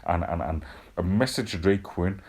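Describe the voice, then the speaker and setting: A man's voice: deep voice, unhurried, darkish tone. A man playing a brash, sweary hard-man podcast host, blunt and peppering his talk with "yeah, right". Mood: boastful. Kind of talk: comic casual chat.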